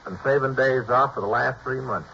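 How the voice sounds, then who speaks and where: An actor playing a police detective who makes quick, wry, offhand remarks, from a 1950s radio drama. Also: male voice, medium pitch, creaky, sharp tone. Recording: dull, noisy old radio broadcast.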